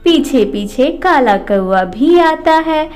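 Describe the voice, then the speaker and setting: A woman's voice: high-pitched, animated, slow pace, a little mellow, soft background music. A woman narrating a scripted children's cartoon story.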